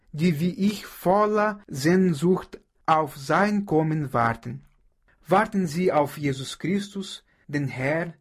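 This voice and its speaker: A Brazilian pastor speaks with a slightly raised pitch and a husky, breathy edge. He preaches in a calm, unhurried radio devotional, his Brazilian Portuguese accent shaping every word. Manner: slow, measured preaching with prayer.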